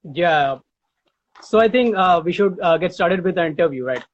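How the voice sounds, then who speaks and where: Male interviewer, somewhat high voice, halting questions, dull video-call sound. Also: lively intonation. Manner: hesitant questions with fillers. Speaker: interviewer, host.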